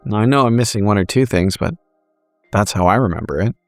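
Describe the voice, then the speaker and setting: A man's voice: on the low side, animated, fairly quick, dry recording, faint music behind. A man who plays in a tabletop game, giving a conversational story recap with wry, literary and profane wording.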